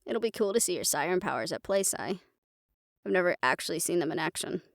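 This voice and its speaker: A level-headed, protective young heroine of a fantasy audio drama played by a woman. Her voice is lowish with plenty of fry, and she talks in a measured, soothing way. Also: animated, no echo, clean studio sound. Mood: calm, reassuring. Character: calm, sensible, gently protective young woman. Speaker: character in fantasy audio roleplay. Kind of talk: dramatic audio roleplay acting.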